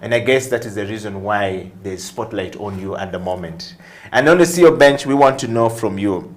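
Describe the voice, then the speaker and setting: A man hosting an interview, phrasing his questions in a deliberate, emphatic way. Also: on the low side. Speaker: talk show host. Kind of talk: conversational interviewing.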